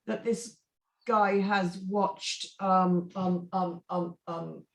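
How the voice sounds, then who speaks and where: A woman's voice: lowish voice, fairly even intonation, very slow, creaky. Opinionated woman giving blunt critique. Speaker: script reading panelist. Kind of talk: unscripted critique in a panel discussion.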